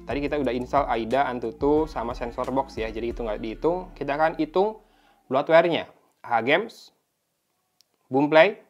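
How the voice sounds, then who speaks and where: A man with an Indonesian accent giving a casual, conversational gadget review as the host of a tech video. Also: mid-range voice. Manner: conversational, informal.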